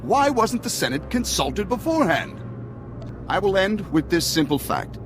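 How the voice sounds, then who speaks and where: An actor playing a scheming, self-righteous politician, speaking in a pompous, oratorical way in a video game. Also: male voice, high-pitched, sing-song, normal speed. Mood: accusing, oratorical. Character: scheming, self-righteous senator, secretly a traitor, male. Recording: music behind the voice.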